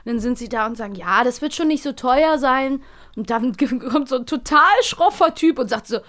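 Female podcast co-host, slightly high register, informal and animated storytelling in relaxed conversation. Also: normal speed. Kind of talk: casual chat about children's audio plays.

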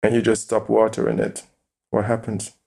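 A man as a podcast guest, calmly explaining his view with examples and rhetorical questions. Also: on the low side, moderate pace, vocal fry. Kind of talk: interview conversation.

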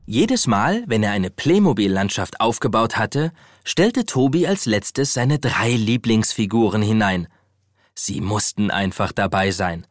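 A man's voice: lowish voice, expressive, unhurried, dry recording, studio quality. A man narrating a scripted children's story as the storyteller of a radio play. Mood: storytelling. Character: storyteller of a children's toy adventure.

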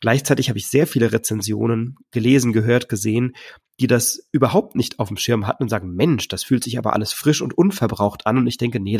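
A man hosting a board game podcast, talking in a chatty, enthusiastic way, hoarse and stuffy from a cold. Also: mid-range voice, darkish tone. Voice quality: husky, gravelly. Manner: chatty, enthusiastic, some filler words. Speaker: podcast host. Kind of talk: casual solo podcast talk.

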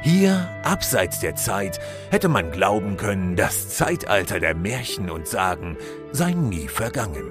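A man narrating a scripted fairy-tale audio play as a solemn, expressive storyteller. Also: deep voice, measured pace, music in the background. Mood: solemn, lyrical.

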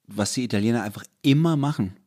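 A man's voice: mid-range voice, fast talker, darkish tone. A man from Germany in casual chat, telling stories with many restarts and fillers. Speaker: conversation partner.

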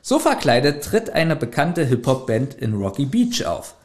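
A man's voice: mid-range voice, big pitch swings, normal speed, darkish tone. A man co-hosting a podcast in casual, humorous chat, jokey and given to mock grumbling. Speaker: podcast co-host.

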